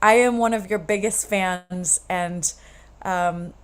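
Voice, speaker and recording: A woman in casual talk, effusive and gushing, who trails off softly at the ends of her thoughts. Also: lowish voice, steady tone, takes it slowly, clear, resonant tone. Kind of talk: heartfelt casual chat.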